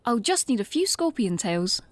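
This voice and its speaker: Woman voicing a polite, literal robot butler, high lively tone, dry close sound. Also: moderate pace, no echo. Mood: politely literal. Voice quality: formal, precise diction. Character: prim polite robot servant, formal and literal. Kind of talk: fan audio drama acting.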